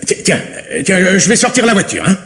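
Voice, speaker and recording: A man's voice: sits high, big pitch swings, fairly quick, fairly bright tone, slight background noise, exaggerated voice. An actor playing an impatient, bossy middle-aged husband and father in a French radio mystery play, fussy and exclamatory. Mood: impatient, fussing.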